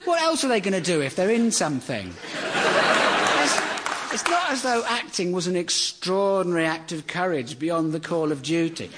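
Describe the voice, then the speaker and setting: A man delivering a deadpan, sardonic comedy monologue that builds into exasperated rants. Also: high voice, moderate pace, heavy background noise.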